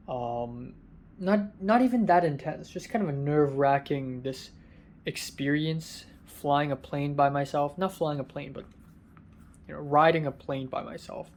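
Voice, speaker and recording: A tired young man with a raspy voice, rambling through a casual vlog from a hotel room, halting with many ums. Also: a little high, darkish tone, slight background noise. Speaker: vlogger.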